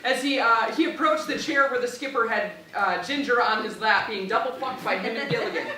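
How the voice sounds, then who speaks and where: A woman's voice: fairly high pitch, brisk, slight background noise. A woman narrating a live dramatic reading of parody fan fiction. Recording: echoey room, distant, very loud audience.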